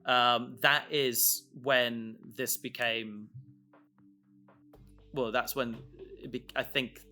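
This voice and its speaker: A man in a casual discussion as a co-host, talking informally and haltingly as he thinks aloud. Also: mid-range voice, level tone, sharp tone. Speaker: co-host, audio drama creator. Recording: music playing underneath.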